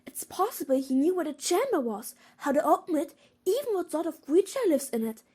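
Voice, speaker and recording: A woman plays a clever schoolgirl witch in an audio drama, high in pitch. She is earnest and careful, reasoning things out with an inquisitive manner. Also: big pitch swings, a little fast, mellow. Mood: curious, deducing.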